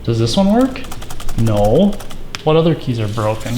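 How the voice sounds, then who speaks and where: A man talks casually as he works through a hands-on repair. His voice sits at an ordinary male pitch, with room noise and keyboard sounds around him. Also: sing-song, unhurried. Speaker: repairer demonstrating a fix. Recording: noisy room with background sounds.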